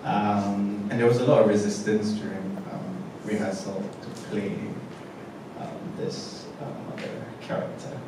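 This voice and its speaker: Low-voiced director in an echoey hall. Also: male voice, fairly even intonation. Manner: halting, many fillers. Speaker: theatre director. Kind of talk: casual panel chat. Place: indoor theatre stage. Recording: echoey theatre, distant mic, noisy.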